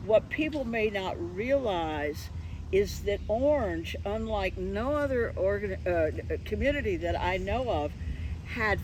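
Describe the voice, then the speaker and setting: A woman hosting an unscripted interview, chatting in a halting, conversational way and often restarting her phrases. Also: medium pitch, poor audio. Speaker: interviewer and host. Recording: clear traffic and street noise behind.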